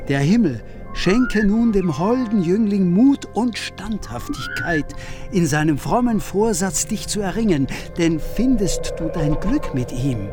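A solemn, lofty ruler in a radio play. He declaims slowly with a widely moving pitch, over clear background music. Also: lively intonation. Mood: solemn, benevolent. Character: solemn, moralising authority giving a blessing. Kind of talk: German radio drama, declaimed verse.

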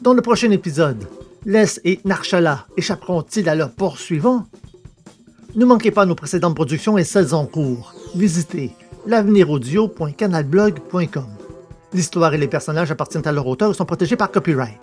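A man presenting an audio drama, reading the episode credits in a measured, formal way. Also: fairly high pitch, animated, soft background music. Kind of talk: scripted credits announcement.

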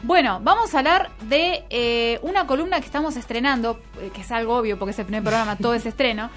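A woman's voice: a little high, fast talker, a bit bright. A woman with an Argentine Rioplatense accent hosting a radio show in casual, playful, ironic banter. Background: music.

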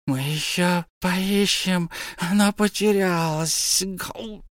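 A whining, hissing cave creature. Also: male voice, high-pitched, slow pace, fairly bright tone, dry recording, studio quality, voice pushed up. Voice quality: hissing, whiny. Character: wretched hissing cave creature, male, greedy and suspicious.

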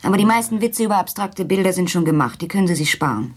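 A woman's voice: fairly low pitch, lively intonation, fast talker, husky. An actress playing a melancholy, wry woman who paints, in naturalistic radio play dialogue with a poetic, wistful way of talking. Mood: dry, wry. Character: melancholy, chilly woman who paints, drinking over checkers.

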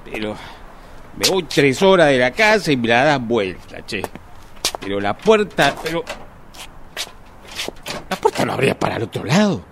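Male actor, tipsy grumbling husband, Rioplatense accent, radio drama, exasperated muttering, animated pitch. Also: normal speed, long pauses, background noise. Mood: irritated. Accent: Argentine Rioplatense Spanish. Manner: muttering, exasperated complaining to himself. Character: grumbling tipsy husband stumbling home at night.

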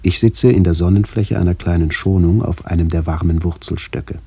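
Very deep, gravelly, reflective man. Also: moderate pace, dark tone, heavily acted. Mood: pensive. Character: reflective man visiting his ageing family. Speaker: narrator. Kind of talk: literary radio play narration.

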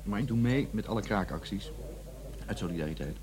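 Young male student activist played by a man, Netherlands Dutch, quick and lowish, defiant and aloof, radio drama with busy café chatter behind. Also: animated, close to an everyday voice. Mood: curt, defiant. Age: young adult. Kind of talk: radio play dialogue.

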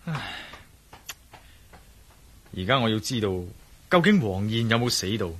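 An actor playing a troubled, suspicious adventurer-investigator in a Hong Kong Cantonese radio drama, speaking conversationally with sighs. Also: male voice, mid-range voice, big pitch swings, unhurried, a bit bright, slight background noise. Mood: brooding. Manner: sighing, conversational.

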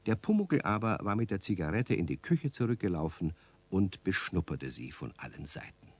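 A man's voice: low-pitched, very animated, normal speed, husky, full character voice. A man acting as narrator of a 1970s children's radio play, reading a scripted tale as a storyteller. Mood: calm storytelling.

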